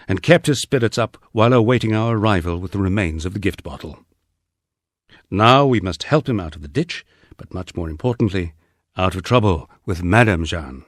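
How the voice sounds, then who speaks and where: A man's voice: fairly low pitch, no room sound, studio quality. A man reading a humorous memoir as an audiobook narrator, with a wry storytelling delivery.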